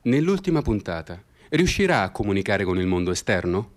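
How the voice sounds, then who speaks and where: A man's voice: fairly low pitch, sing-song, fairly quick. A man delivering scripted promotional narration as a radio drama announcer. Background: music.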